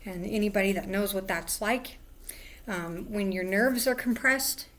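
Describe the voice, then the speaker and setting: Hoarse woman chatting casually while crafting. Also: medium pitch, a little slow. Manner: rambling, drifts into soft murmurs. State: hoarse, lost voice. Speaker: craft livestream host. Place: at home indoors.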